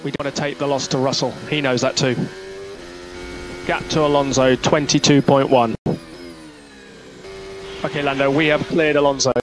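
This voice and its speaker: A man working as a race engineer, giving clipped, calm, repeated instructions over team radio. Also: medium pitch, moderate pace, long pauses. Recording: noisy motor racing radio, engine noise. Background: car engine noise.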